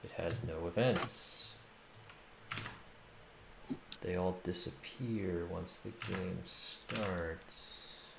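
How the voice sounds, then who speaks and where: A man's voice: low voice, drawn-out words, vocal fry, pauses often. A man working as a game developer on a stream, chatting casually and muttering to himself as he codes. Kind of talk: casual chat while coding, muttering to himself. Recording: echoey room, noisy, keyboard clicks. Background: typing and mouse clicks.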